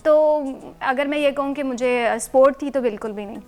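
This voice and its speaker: High-voiced woman, native Punjabi speaker. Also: normal speed, soft background music. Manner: candid, lively storytelling. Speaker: TV news anchor guest. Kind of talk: studio talk show conversation. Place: TV studio.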